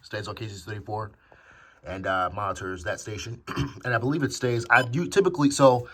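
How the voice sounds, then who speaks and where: Low-voiced American man, halting, noisy recording. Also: fairly even intonation, fairly bright tone. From United States. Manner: halting, pausing mid-sentence, self-correcting. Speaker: hobbyist video host. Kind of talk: casual show-and-tell vlog. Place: indoor room at home. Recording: clearly noisy amateur home recording with clicks.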